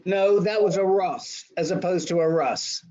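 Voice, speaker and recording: A man's voice: sits high, a little slow, darkish tone, dull audio. A man moderating a support group in a casual, chatty, joking way over an online call.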